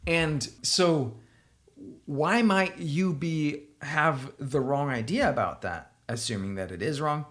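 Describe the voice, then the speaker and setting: A man from Denmark with a Danish accent, a software company founder giving opinionated, casual commentary straight to the viewer. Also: on the high side, slow pace. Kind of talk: casual commentary responding to a blog post.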